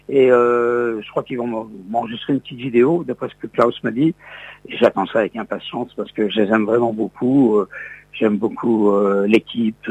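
Older radio host, man, husky voice, halting speech full of fillers, slightly dull sound. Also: mid-range voice, a little mellow. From Lorraine, France. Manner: hesitant, many fillers and restarts. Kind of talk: interview, reminiscing.

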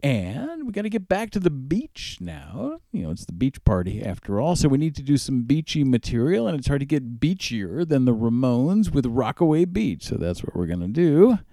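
A man's voice: mid-range voice, very animated, normal speed, few pauses, mellow, no echo. A man hosting a music radio show, casually back-announcing songs in an upbeat, enthusiastic manner. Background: music under the voice.

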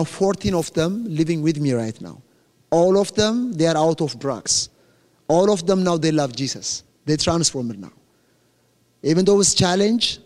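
An earnest young man with an Ethiopian accent, whose first language is Amharic, gives a church testimony in plain, direct English. His voice sits a little high for a man, and it rings with a long reverb through a large hall. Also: darkish tone. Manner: earnest, plain-spoken, self-correcting, joking about his accent. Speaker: guest speaker at a church.

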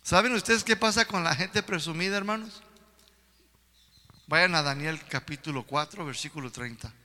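A male preacher delivers a sermon in an echoing hall. His voice sits high and is extremely bright and forceful. He speaks emphatically, often putting questions to his listeners. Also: normal speed. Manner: emphatic, addresses listeners with repeated rhetorical questions. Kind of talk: sermon to a congregation. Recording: reverberant hall.

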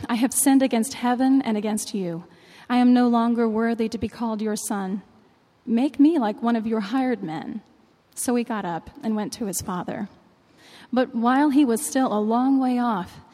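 A woman reading a long Bible passage aloud in church, in a measured, clear way. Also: on the high side, dark tone. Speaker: scripture reader. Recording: long reverb of a large hall.

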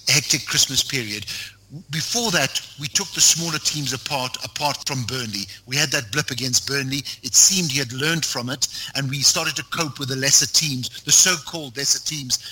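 A man's voice: medium pitch, bright, forceful tone, husky, rough recording. A man co-hosting a casual football podcast who argues his point and rattles off lists of teams.

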